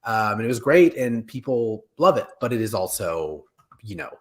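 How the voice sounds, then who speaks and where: A man in his forties co-hosting a casual panel chat, joking and animated, often interrupting himself mid-sentence. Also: fairly low pitch. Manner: jokey, animated, halting with self-interruptions. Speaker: co-host of a pop culture live show. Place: home room, indoors, video call.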